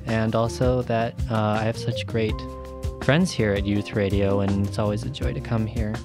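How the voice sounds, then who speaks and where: Brief: young man, low and monotone, sincere testimonial, guitar music behind. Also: moderate pace. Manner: sincere, grateful, with ums. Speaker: youth radio reporter. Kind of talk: personal testimonial.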